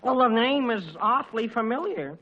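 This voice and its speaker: A man playing a bashful, polite young suitor in a 1940s radio sitcom, giving halting, brief replies. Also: very high voice, expressive, muffled recording. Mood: awkward. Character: shy, awkward, polite young male suitor. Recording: noisy 1940s radio recording.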